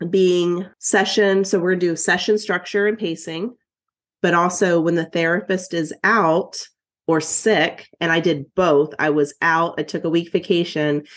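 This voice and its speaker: This woman has a stuffy, nasal voice that sits a little low for her, and she talks in a loose, chatty way. She is a therapist co-hosting a podcast, and she often trails off partway through a thought. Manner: chatty, self-deprecating, trails off mid-sentence. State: congested, recovering from covid. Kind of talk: casual chat.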